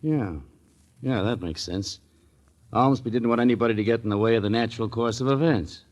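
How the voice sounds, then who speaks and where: An actor playing a skeptical but agreeable police sergeant in a 1940s radio drama. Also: male voice, medium pitch, a little fast, a little mellow, close to an everyday voice. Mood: doubtful. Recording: noisy 1940s radio recording.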